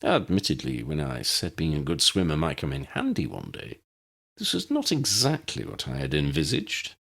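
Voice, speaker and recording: A man reads as a fond, proud uncle in an audiobook story. His voice is low and swings expressively in pitch. His phrasing is formal and wry. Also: wildly swooping pitch, normal speed, vocal fry, fairly bright tone, slightly rough, heavily acted.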